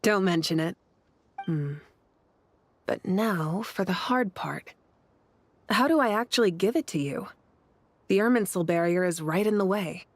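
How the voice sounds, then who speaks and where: A woman's voice: fairly low pitch, expressive, unhurried, long pauses, no echo. A woman voicing a confident, easygoing female leader god in a video game, with a breezy, teasing manner. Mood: playful, warm. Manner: breezy, self-assured, teasing. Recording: game music behind.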